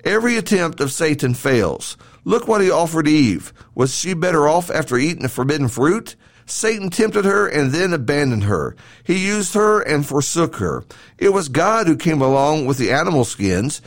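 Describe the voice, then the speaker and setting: A man's voice: fairly high pitch, big pitch swings, normal speed. A man hosting a devotional podcast, reading a scripted sermon in an earnest, emphatic way with rhetorical questions and repeated phrases. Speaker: podcast host and preacher.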